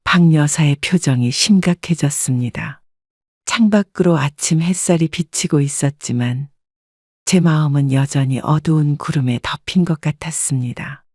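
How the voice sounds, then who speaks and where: A woman narrating a first-person family story in a calm, measured manner. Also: deep voice, no room sound, clean studio sound.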